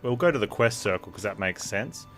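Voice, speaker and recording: A man's voice: fairly low pitch, expressive, brisk, a bit bright. A man giving casual live gameplay commentary, rambling as he thinks aloud. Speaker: game streamer. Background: game music.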